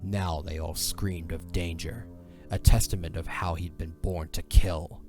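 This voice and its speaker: A man narrating a fantasy audio drama in a solemn, measured, dramatic storytelling style. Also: low voice, steady tone, music in the background.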